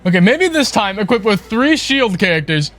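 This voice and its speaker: Higher-voiced man grumbling through a game stream. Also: sing-song, normal speed, a bit bright. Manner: foul-mouthed, exasperated grumbling. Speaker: livestreamer playing a video game. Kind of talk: casual gameplay commentary. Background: video game music.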